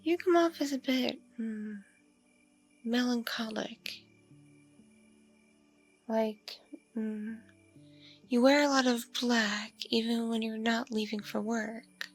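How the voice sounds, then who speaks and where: A woman playing a lonely, flirtatious vampire neighbor in an intimate ASMR roleplay, speaking in a breathy, creaky murmur with hesitant, drawn-out pauses. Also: on the high side, faint music behind. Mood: gently probing. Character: lonely, flirtatious, awkwardly polite pale vampire woman next door. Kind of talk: ASMR roleplay, intimate one-sided dialogue.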